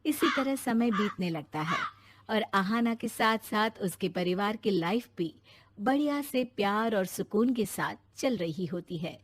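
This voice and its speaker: A woman's voice: mid-range voice, animated, a little slow, breathy edge. A woman narrating a scripted moral story.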